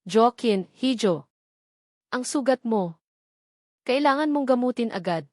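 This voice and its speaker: A woman with a Filipino accent voicing a gentle, remorseful elderly mother in a romance story. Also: fairly high pitch, animated, unhurried, no echo, studio quality, pitched above the performer's own voice. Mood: worried. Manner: gentle, apologetic.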